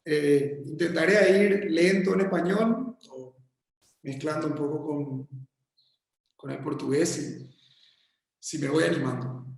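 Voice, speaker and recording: A man with a Paraguayan accent giving a casual remote talk as a guest architect, halting and friendly, often repeating his words. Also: on the high side, gravelly, heavy reverb. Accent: Paraguayan Spanish. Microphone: video call.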